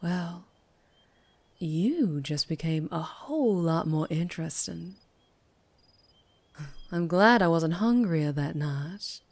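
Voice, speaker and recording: A woman plays a mysterious vampire biker in an ASMR roleplay. Her voice sits on the low side and she takes her time with each phrase. She is teasing and menacing by turns. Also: animated, takes it slowly, long pauses, darkish tone, full character voice. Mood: teasing, intrigued.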